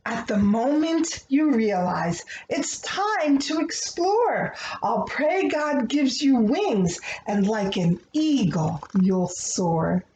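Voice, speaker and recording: Slow, lilting woman reading to children, echoey room. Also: on the high side, expressive, a little mellow. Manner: tender, earnest, addressing young children. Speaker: children's book read-aloud host. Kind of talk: expressive read-aloud of a rhyming picture book, then gentle talk to children. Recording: dull, echoey room with faint noise.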